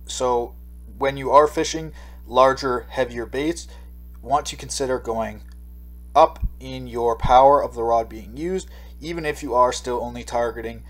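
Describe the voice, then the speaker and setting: A man's voice: mid-range voice, unhurried, fairly bright tone. A man narrating a slideshow lesson as a fishing instructor, explaining steadily with occasional fillers. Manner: explanatory, reads steadily with occasional ums and uhs. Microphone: voice-over.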